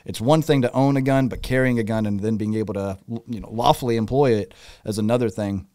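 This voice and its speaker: Upbeat podcast host man, smooth voice. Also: lowish voice, fast, no echo, studio quality. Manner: upbeat, relaxed, filler-heavy. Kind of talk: casual podcast interview. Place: podcast studio. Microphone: studio mic, headphones.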